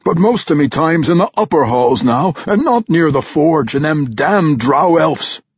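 Tormented vampire dwarf warrior voiced by a man, thick brogue, gruff and halting, raised and loud, husky. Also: on the high side, expressive, no echo, highs cut off, pitched above the performer's own voice, exaggerated voice.

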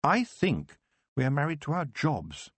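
An earnest young witch, sure of her gift yet torn inside, as a male reader voices her. The voice sits raised above his own and stays husky and heartfelt. It is recorded close and dry. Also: fairly high pitch, huge pitch swings, a little slow, dry recording, voice pushed up, full character voice. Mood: heartfelt, troubled.

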